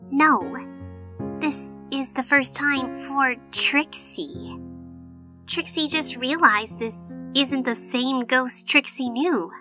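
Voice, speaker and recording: A woman plays a dramatic young cartoon unicorn girl with a high, bright voice. She is self-important yet tender and talks theatrically, with wide swoops in pitch, over some music. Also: full character voice. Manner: theatrical, speaks of herself in third person. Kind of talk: animated comic dub acting.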